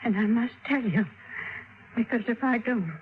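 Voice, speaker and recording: An actress playing an anguished woman confessing her fears in 1950s radio drama, urgent and halting. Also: fairly high pitch, a little hiss or hum, lightly acted. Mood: urgent, fearful. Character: anguished married woman confessing fear of a wicked man. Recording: tinny, band-limited old radio recording.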